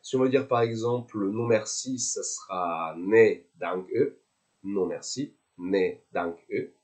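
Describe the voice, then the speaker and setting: A young French man with a French accent and a somewhat low voice gives a beginner's language lesson. He goes very slowly and repeats every phrase with care. His delivery is patient and deliberate. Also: a little mellow. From France. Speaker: language tutor.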